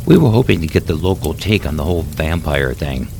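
A man's voice: rumbling low, lively intonation, fairly quick, vocal fry, darkish tone, slight background noise, exaggerated voice. A man voicing a chatty young male camper who talks eagerly and stammers when frightened.

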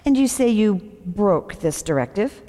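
A woman's voice: mid-range voice, big pitch swings, measured pace, warm tone. A woman playing a procedural senator questioning a witness in an audio drama, formal, direct and methodical. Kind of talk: fiction podcast audio drama. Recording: echoey hall with long reverb.

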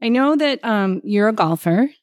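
A woman's voice: mid-range voice, animated, normal speed, no room sound, clean studio sound. A woman physical therapist hosting a casual interview, chatty and encouraging, often laughing. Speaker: physical therapist and podcast host.

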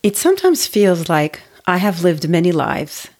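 A woman's voice: fairly low pitch, sing-song, measured pace, darkish tone. A woman in her forties from Turkey, hosting a podcast and telling her own story in a reflective, conversational way with restarts and fillers. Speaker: podcast host. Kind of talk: personal storytelling monologue.

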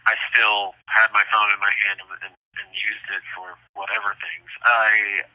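Low-voiced, very bright man on phone. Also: little pitch movement. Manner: reflective, halting, repeats phrases. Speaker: prison inmate interviewee. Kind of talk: candid phone conversation. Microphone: telephone. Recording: tinny prison phone line.

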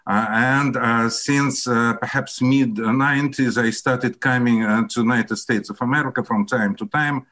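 An older man with a Russian accent, a professor answering interview questions in a reflective, hesitant way with many pauses and fillers. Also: medium pitch, fairly even intonation, fairly bright tone.

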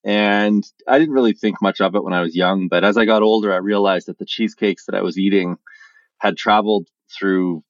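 A man who is a podcast guest telling his story in a relaxed, measured way, with filler pauses. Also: fairly low pitch, no room sound. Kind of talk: conversational storytelling. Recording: dull lo-fi remote audio.

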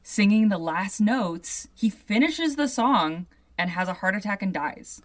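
A young adult woman with a deep voice, hosting a podcast and telling a story in a loose, chatty way full of "like" asides. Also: expressive, measured pace. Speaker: podcast host. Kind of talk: casual storytelling chat.

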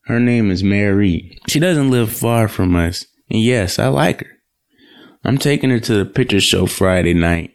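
A man's voice: fairly low pitch, expressive, unhurried, clear, resonant tone. A man playing a polite, playful young man from the in an audio drama. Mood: casual, firm. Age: young adult.

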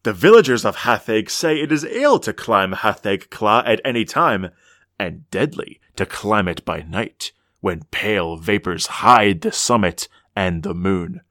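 A man playing a solemn storyteller of eerie ancient legends, narrating a horror audio drama in a measured, dramatic way. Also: fairly low pitch, lively intonation, fairly bright tone, no echo, clean studio sound. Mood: solemn, ominous.

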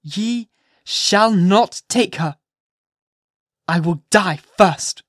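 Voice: medium pitch, very slow, dry recording, studio quality, pitched above the performer's own voice. A reader voicing a desperate young mother who pleads passionately, near shrieking, to keep her child. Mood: anguished. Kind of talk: audiobook reading.

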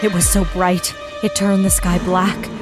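An actress playing a grave woman eyewitness, narrating horror in deliberate, vivid phrases for a live audio drama. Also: lowish voice, level tone, over music, close to an everyday voice. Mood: horrified.

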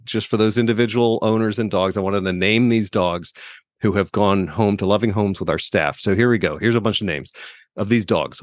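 A man's voice: lowish voice, dry recording. Casual male podcast co-host, dry close sound.

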